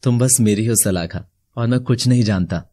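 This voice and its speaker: A man playing a lovestruck, puzzled young bridegroom, earnest and dramatic, in an animated fairy tale. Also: medium pitch, normal speed, close to an everyday voice. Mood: pleading.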